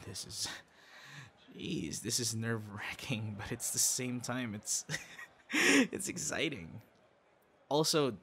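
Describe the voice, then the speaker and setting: A man playing a shy, earnest young man in an intimate boyfriend roleplay, speaking softly, haltingly and conversationally. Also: medium pitch, breathy edge. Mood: shy, pleased. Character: shy, earnest young man, a soft-hearted audio creator. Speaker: audio roleplay performer.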